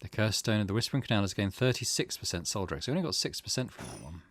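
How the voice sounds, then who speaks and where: Low-voiced man with lively pitch. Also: racing, creaky, slight background noise. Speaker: gaming video host. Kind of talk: let's play narration, reading game text aloud.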